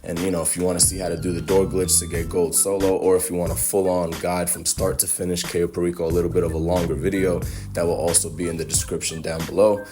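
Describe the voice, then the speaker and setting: A young man with a slightly hoarse voice from a cold, giving a laid-back, chatty game walkthrough full of fillers. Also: deep voice, little pitch movement, fast talker, over music. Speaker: gaming guide creator. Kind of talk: casual game walkthrough commentary.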